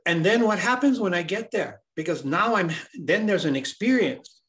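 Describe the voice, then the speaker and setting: A man's voice: high-pitched, animated, normal speed, a bit bright. A man from Hawaii, a healer speaking as an interview guest, talking earnestly and reflectively, often repeating words as he searches for them.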